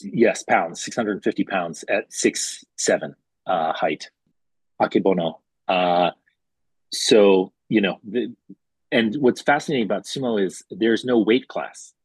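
A man in his forties, from Hawaii, a restaurant executive telling his life story in a relaxed, chatty way with fillers. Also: fairly low pitch, measured pace, slightly rough, no room sound, poor audio. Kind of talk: conversational storytelling.

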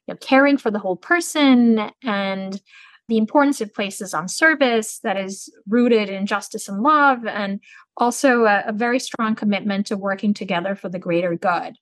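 A middle-aged woman from Turkey, a business school dean, answering interview questions in a measured, articulate, explanatory way. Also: mid-range voice, dry recording.

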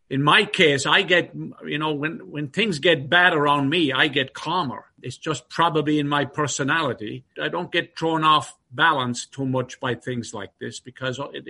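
A man with a New York accent carrying traces of his Irish upbringing, answering interview questions in a measured, steady way. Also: on the high side, creaky. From Ireland. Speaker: guest executive.

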